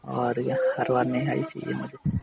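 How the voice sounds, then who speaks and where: A man's voice: mid-range voice, completely flat delivery, moderate pace, gravelly, crowd noise. A man playing a regular client on a casual phone call, argumentative but caring, pressing his point and repeating himself with questioning tags. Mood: insistent, irritated. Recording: muffled, noisy phone-call recording with clicks.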